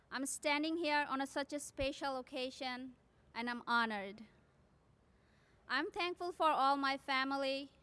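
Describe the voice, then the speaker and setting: A woman's voice: high-pitched, sharp tone, clean tone. A woman from South Asia whose first language is not English, a graduating nursing student giving an earnest prepared commencement speech.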